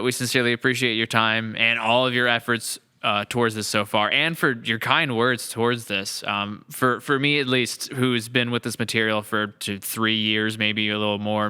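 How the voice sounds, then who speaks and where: A man's voice: lowish voice, steady tone, normal speed, pushed and bright, smooth. A man hosting a podcast in casual chat, effusive and rambling as he talks. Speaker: podcast host.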